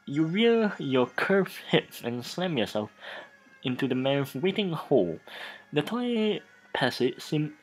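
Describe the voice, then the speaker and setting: Seeking a mid-pitched let's play narrator: theatrical, animated intonation, frequent stumbles and repeated phrases. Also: low-quality recording. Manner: halting, stumbling over words and repeating phrases. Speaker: host of a gaming let's play. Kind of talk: dramatic reading of game text.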